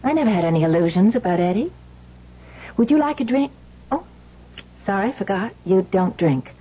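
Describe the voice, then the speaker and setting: A woman's voice: medium pitch, animated, normal speed, slightly rough. An actress playing a wry, bitter wife of a crook, probing as she talks, in a 1970s radio drama. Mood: wry, hospitable. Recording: dull lo-fi old radio recording.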